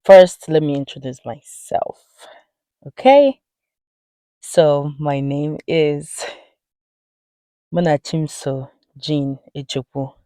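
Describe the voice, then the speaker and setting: A woman's voice: low-pitched, takes it slowly, pauses often, warm tone, clear, resonant tone, no room sound, clean studio sound. A young Nigerian woman with a Nigerian accent, talking earnestly to camera in a casual vlog and dropping in frequent "you know"s. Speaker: vlogger.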